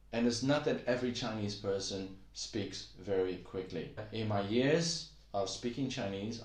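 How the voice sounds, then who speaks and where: Middle-aged male language tutor, low-pitched, patient, filler-heavy; roomy echo. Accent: Taiwanese-accented Mandarin. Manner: patient, repeats himself, many fillers. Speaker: Chinese language teacher. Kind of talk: casual video lesson. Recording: echoey room.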